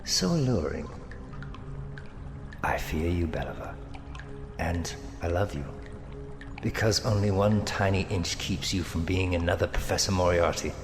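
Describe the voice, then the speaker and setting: A man's voice: sits low, measured pace, long pauses. An actor playing a menacing, amorous male villain in an audio drama, speaking in a theatrical, taunting and seductive way. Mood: seductive menace. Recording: long cavernous reverb with music underneath. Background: dramatic music.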